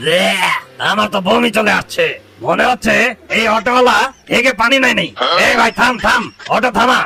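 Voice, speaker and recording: A man's voice: high-pitched, fairly even intonation, normal speed, creaky, sharp tone, husky, exaggerated voice. A man voicing a fussy, brash male rickshaw passenger in a cartoon. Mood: disgusted. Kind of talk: cartoon voice acting.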